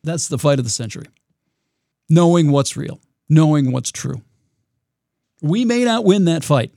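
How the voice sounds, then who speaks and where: Raspy-voiced male podcast host. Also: on the high side, animated, unhurried, mellow, dry recording, clean studio sound. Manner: reflective, rambling, with restarts. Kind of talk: solo podcast monologue.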